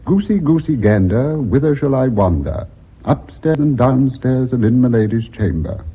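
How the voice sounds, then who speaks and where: Raspy, eccentric old designer. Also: male voice, medium pitch, sing-song, normal speed. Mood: theatrical reciting. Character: cheerful eccentric old male cloth designer. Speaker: actor in a radio play. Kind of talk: British radio drama acting. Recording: thin, phone-like radio recording.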